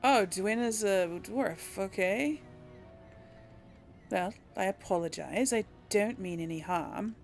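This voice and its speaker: A woman's voice: medium pitch, takes it slowly, creaky. A woman giving casual game commentary as a let's play host, chatting and thinking aloud. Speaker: let's play host, role-playing a character.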